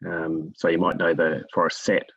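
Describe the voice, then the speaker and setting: A man from New Zealand with a gravelly, husky voice, telling his story conversationally as an interview guest. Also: sits low, level tone, moderate pace, dry recording, muffled recording. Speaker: guest expert in forest monitoring.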